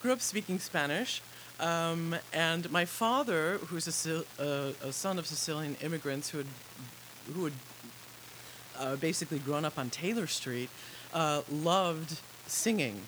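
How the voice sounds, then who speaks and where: A woman from Chicago, an opera soprano, telling her story in a radio interview with a reflective manner and many pauses. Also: low voice, a bit bright, poor audio. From Chicago, USA. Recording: faint tape hiss.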